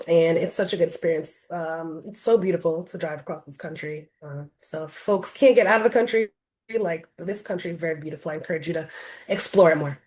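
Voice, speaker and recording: A woman's voice: on the low side, darkish tone. A woman from Rhode Island giving a casual online presentation on a video call, chatty and loose, with lots of "um" and "like". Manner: chatty, many fillers like um and like.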